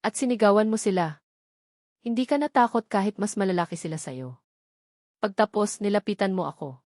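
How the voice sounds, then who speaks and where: A woman reading the part of a calm, soft-spoken, wealthy male politician in an audio love story. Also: medium pitch, a little fast, no echo, clean studio sound, close to an everyday voice. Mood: gentle, nostalgic.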